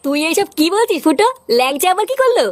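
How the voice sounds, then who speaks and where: Earnest cartoon buddy, really high pitched-up voice, talks quick, dry close sound. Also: expressive, no room sound. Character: loyal, earnest cartoon village friend. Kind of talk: comedy cartoon dialogue.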